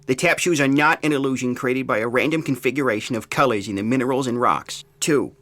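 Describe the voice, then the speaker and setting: A man's voice: medium pitch, brisk, fairly bright tone, natural voice. An actor playing an irritable, disgraced geologist in a comic audio drama, testy, sarcastic and pedantic as he explains himself. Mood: pedantic, earnest.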